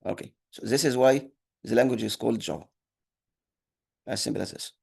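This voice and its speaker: A man whose first language is Arabic, teaching an online programming class, halting with many fillers and restarts. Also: medium pitch, creaky, a little mellow, husky. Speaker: instructor. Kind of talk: online lecture.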